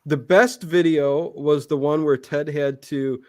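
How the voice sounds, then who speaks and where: An American man from Cleveland chatting casually as a livestream guest, excitable, often repeating himself and interjecting. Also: on the high side, takes it slowly, no room sound, studio quality. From Cleveland, United States. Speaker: YouTube channel host, interview guest.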